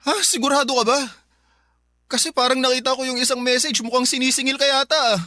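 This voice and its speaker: A man's voice: very high voice, steady tone, fast, bright, forceful tone, dry recording, studio quality. A man playing an anxious live-in boyfriend in a radio drama, suspicious yet apologetic, with a hesitant, pleading manner. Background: music bed.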